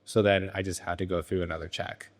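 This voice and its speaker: Low-voiced young man, relaxed and halting. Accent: American. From Thailand. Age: young adult. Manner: relaxed, hesitant with frequent ums and uhs. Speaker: guest business founder. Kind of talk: casual interview. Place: his motorcycle garage.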